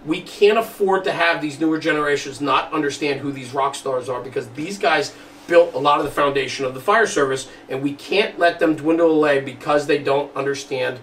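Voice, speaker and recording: A man's voice: a little high, fairly quick, a bit bright. A man hosting a casual studio podcast, speaking in an earnest, emphatic way. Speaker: podcast host. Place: studio room. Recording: roomy, distant mic, faint background noise.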